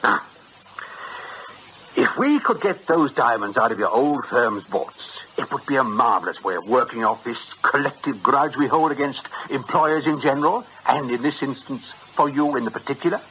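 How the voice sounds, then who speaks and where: Actor as a lively British smooth-talking ringleader. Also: male voice, medium pitch, normal speed, close to an everyday voice. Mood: wry, persuasive. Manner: chuckling, ironic, chatty and avuncular. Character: jovial, wily, smooth-talking male ringleader of swindlers. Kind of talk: British radio drama acting. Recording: dull radio recording, faint noise.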